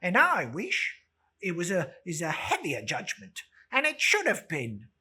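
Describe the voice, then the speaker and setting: A woman's voice: on the low side, huge pitch swings, measured pace, pushed and bright, slightly rough, full character voice. A woman voicing a brazen, defiant working-class charwoman with a scolding manner in a dramatic storybook reading. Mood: scornful, indignant.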